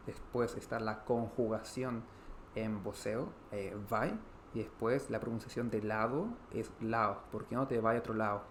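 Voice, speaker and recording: A man from Chile with a Chilean Spanish accent, explaining grammar in an online language lesson, pausing often on "eh" and restarting phrases. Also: mid-range voice, a little hiss or hum. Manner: explanatory, frequent "eh" fillers, repeats and restarts. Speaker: Spanish teacher.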